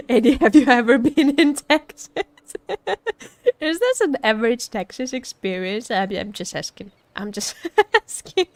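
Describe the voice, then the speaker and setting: A woman's voice: on the high side, unhurried, no room sound. A woman streaming as a VTuber, chatting casually about a game in a teasing, joking, giggly way. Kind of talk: casual livestream chat reacting to a game.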